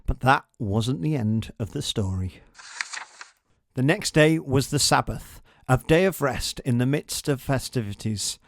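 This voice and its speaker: A man narrates a read-along story for children. His mid-pitched voice is measured and dramatic, recorded very dry and close with little room sound. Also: lively intonation, dry recording.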